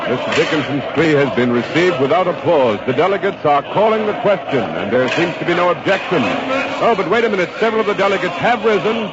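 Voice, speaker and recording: An actor playing a solemn radio reporter, giving formal, measured commentary in the style of golden age radio. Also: male voice, fairly high pitch, animated, very noisy, lightly acted. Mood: hushed suspense. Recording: dull vintage radio broadcast, crowd murmur.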